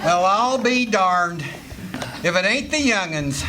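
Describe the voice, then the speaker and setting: A man's voice: high voice, lively intonation, takes it slowly. A man playing a distant, mild country uncle. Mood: surprised, mild. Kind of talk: old-time radio play acting on stage. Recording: noisy live stage, far from mic.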